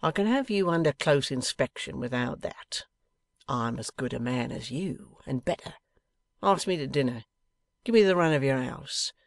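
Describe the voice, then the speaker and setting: A man's voice: mid-range voice, expressive, normal speed, no room sound, lightly acted. A man voicing an impudent, bullying rogue from a Victorian novel, contemptuous and blustering in a colloquial, grasping manner. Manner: contemptuous, blustering, colloquial Cockney turns of phrase. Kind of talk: dramatised audiobook character voice.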